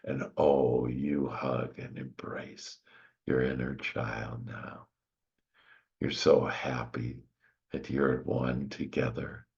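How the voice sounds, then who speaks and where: Very deep, gravelly man guiding a slow meditation. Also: highs cut off. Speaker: guided meditation leader. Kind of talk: soothing guided meditation, slow spiritual visualization.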